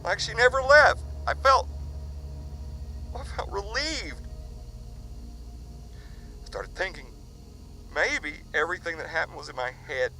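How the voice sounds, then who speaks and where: An actor playing a frightened father who leaves a halting, confessional farewell recording for his son. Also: sits high, very animated, gravelly, a little hiss or hum, full character voice, rough recording. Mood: anxious, somber. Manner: halting, confessional, hushed at times. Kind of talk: audio drama.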